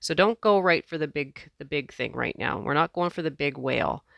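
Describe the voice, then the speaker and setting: A woman teacher leading a guided meditation in a gentle, measured way, leaving space between phrases. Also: deep voice, lively intonation, gravelly, no room sound.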